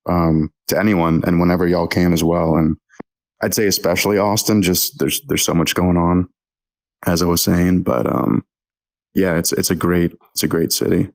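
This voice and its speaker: A man's voice: sits low, fairly even intonation, moderate pace, a little mellow, low-quality recording. A young man, talking as a podcast guest in a hesitant, casual way with many ums and restarts. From Texas. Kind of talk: casual interview chat.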